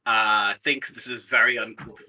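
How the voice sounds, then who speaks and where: A man playing a tabletop game, chatting casually and haltingly as he thinks aloud. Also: on the low side, little pitch movement, pushed and bright.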